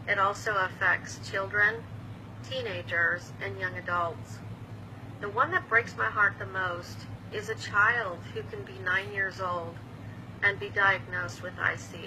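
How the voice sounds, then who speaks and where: A woman's voice: mid-range voice, noisy background. A woman in her fifties, a patient advocate, explaining an illness to camera in a plain, patient way with small restarts. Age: 50s. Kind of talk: earnest explanatory talk to camera.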